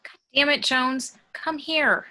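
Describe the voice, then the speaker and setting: Woman as bright, determined spaceship officer. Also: high voice, steady tone, slow pace, full character voice. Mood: coaxing, exasperated. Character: determined female spaceship warrant officer, resolute, practical. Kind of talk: amateur screenplay table read.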